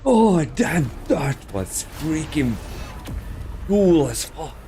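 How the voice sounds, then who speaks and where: A man's voice: on the high side, sing-song, extremely slow, warm tone, faint music behind. A man giving casual, exclamatory reaction commentary as he plays a game, with plenty of swearing. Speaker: gamer reacting to a story quest.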